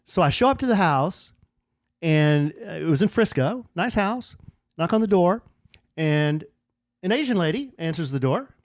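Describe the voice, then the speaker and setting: A man's voice: a little high, moderate pace. A middle-aged man spins a casual yarn on a podcast, and his voice jumps around as he acts out what people said. He sits close to the mic in a dry, dead room with no echo. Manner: animated storyteller, acts out quoted dialogue. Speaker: podcast co-host. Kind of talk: casual storytelling chat.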